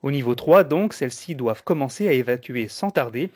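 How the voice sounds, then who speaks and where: A man co-hosting a radio information magazine, explaining things in a measured, presenter-like way. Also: mid-range voice, lively intonation, a little mellow, no echo, studio quality. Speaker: radio co-host.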